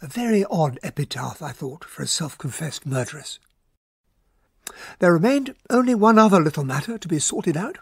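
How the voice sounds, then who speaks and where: An actor playing a genial, wry gentleman in a radio drama, with a jovial, old-fashioned manner. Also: fairly high pitch, lively intonation, normal speed, creaky, breathy edge, dry recording, clean studio sound, exaggerated voice. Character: genial, wry English gentleman, detective's companion. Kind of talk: radio drama acting and narration.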